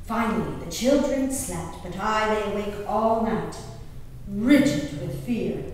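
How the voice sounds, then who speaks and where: Actress as resolute gentlewoman diarist, very echoey hall. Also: mid-range voice, lightly acted. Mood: grave, tense. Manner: theatrical, measured phrases with dramatic pauses and swells. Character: Elizabeth Simcoe, resolute haunted English gentlewoman recalling peril at sea. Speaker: stage performer. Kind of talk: dramatic monologue reading of a historical diary. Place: live reading in a reverberant hall. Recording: distant echoey hall, noisy room recording.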